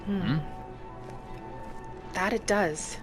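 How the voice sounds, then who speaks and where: A woman narrates a cozy fantasy game in character, with music playing behind her. Her phrasing is courteous and a little formal. She sits at a middle pitch as she talks through the roleplay. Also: extremely slow.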